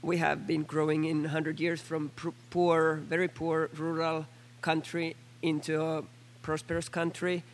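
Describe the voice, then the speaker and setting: Finnish woman MP, hoarse and gravelly, fairly high pitch but a flat monotone delivery, halting with fillers. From Finland. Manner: halting, with many fillers and restarts. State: hoarse from a flu. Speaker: member of parliament. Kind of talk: formal floor statement at a panel meeting.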